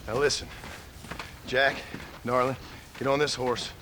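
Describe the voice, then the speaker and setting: An actor playing a gruff, protective outlaw rancher and father in a Western video game, terse and commanding. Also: mid-range voice, little pitch movement, a little slow, close to an everyday voice. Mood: urgent. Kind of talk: video game dramatic acting. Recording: noisy outdoor ambience behind.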